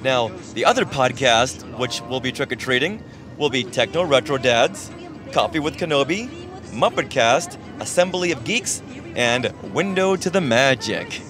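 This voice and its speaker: Man, podcast host, casual chat, average pitch, music clearly behind. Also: expressive, measured pace.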